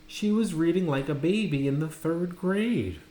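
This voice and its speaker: A man reading a children's story aloud, dramatically, with pauses and stressed words. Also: a little high, dark tone. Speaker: storybook reader. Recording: roomy echo, faint background noise.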